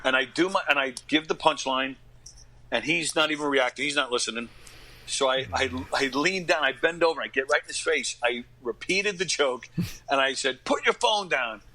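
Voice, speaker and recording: A middle-aged man telling casual anecdotes as an interview guest, acting out what people said and often clearing his throat. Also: mid-range voice, normal speed, rough recording. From New York area, USA. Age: late 40s to 50s. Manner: animated retelling with acted-out quotes and frequent throat-clearing. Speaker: podcast guest, actor and stand-up comic.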